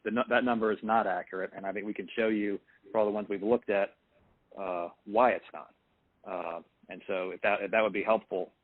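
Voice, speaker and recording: A man's voice: on the low side, no echo. A man replying on a conference call, hesitant and conciliatory yet firm. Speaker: government lawyer. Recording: narrow, tinny phone line.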